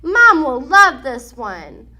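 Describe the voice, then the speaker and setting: Woman voicing an excitable, high-pitched young boy cat. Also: slow, full character voice. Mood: delighted. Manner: earnest, exclamatory. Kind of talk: children's storybook character voice.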